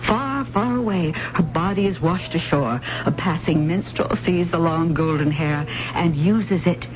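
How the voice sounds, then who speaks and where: An actress playing an earnest, hesitant adult student in a radio drama, speaking with careful, storytelling delivery. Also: female voice, lowish voice, measured pace, natural voice. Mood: intent storytelling. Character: earnest, hesitant, probing female adult student of old ballads. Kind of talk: 1970s radio drama acting. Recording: muffled, noisy old radio broadcast.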